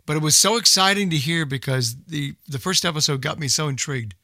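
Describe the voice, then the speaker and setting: A man's voice: a little high, fairly quick, sharp tone, no room sound. An older man chatting casually about old-time radio as a host and collector.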